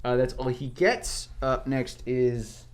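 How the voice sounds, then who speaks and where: A man's voice: mid-range voice, slow, a little hiss or hum. A man running a tabletop game as dungeon master, narrating casually with joking, teasing asides.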